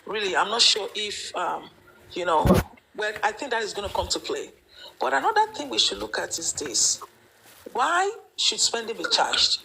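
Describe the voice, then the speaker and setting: A woman's voice: mid-range voice, big pitch swings, moderate pace, creaky, noisy background. A woman giving her opinion in an online discussion, emphatic and lecturing, with many fillers. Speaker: panelist.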